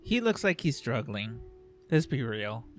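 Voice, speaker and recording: A man's voice: mid-range voice, very animated, measured pace. A man giving casual gameplay commentary as a streamer, speaking into a microphone. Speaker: game streamer. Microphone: dynamic USB microphone. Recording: faint game music behind. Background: faint game music.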